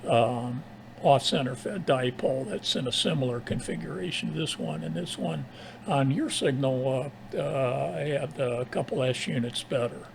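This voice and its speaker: Gravelly american guy rambling on ham radio, halting, kinda noisy in the background. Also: mid-range voice. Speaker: amateur radio operator. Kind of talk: casual ham radio chat.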